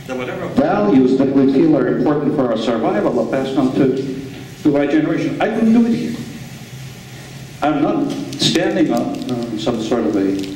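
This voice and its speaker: Man from ukraine, audience question, halting, dark tone, very echoey hall, far from mic. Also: mid-range voice, a little hiss or hum. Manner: halting, searching for words. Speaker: audience member asking a question. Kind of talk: question from the floor.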